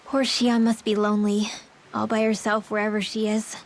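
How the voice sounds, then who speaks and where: A woman's voice: mid-range voice, level tone, normal speed, natural voice. A woman voicing a caring, orphaned young mage girl in an English video game dub, speaking casually and candidly. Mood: earnest, encouraging. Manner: casual, candid, gently encouraging.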